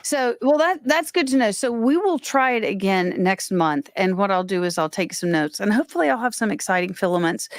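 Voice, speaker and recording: A woman's voice: medium pitch, lively intonation, brisk, hardly any pauses, no room sound, clean studio sound. A woman hosting a casual livestream, talking in a rambling, self-interrupting way. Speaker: livestream host.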